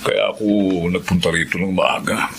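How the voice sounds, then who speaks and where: A deep, solemn older uncle. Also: male voice, normal speed, gravelly, a bit bright, a little hiss or hum. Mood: grave. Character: solemn older male uncle bearing grave news. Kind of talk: Filipino radio drama acting.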